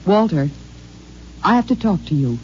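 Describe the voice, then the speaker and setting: Actress as a mellow-voiced, composed lady scientist. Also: lowish voice, lively intonation, moderate pace, close to an everyday voice. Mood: anxious, pleading. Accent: American. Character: composed female scientist, clinical but secretly tender. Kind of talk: 1950s American radio drama acting. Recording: muffled old radio recording with hum.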